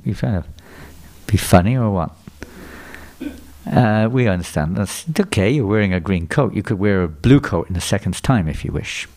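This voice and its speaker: A man's voice: low-pitched, warm tone. An older man gives a sermon lecture to a temple audience, asking people questions and repeating their answers back to them. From England. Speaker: visiting speaker at a temple. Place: temple hall before a live audience.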